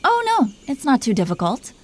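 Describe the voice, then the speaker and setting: A woman's voice: sits high, huge pitch swings, normal speed, full character voice. A woman playing a possessive, teasing dragon queen in an intimate roleplay audio, commanding yet doting toward her husband. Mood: playfully prying. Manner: playful, commanding, mock-sweet.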